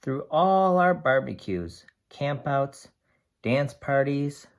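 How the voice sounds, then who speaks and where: Slow, animated storyteller reading to young children, gender ambiguous. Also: mid-range voice. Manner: slow, deliberate, explains and asks simple questions. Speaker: read-aloud storyteller. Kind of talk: expressive picture-book read-aloud with asides to young children.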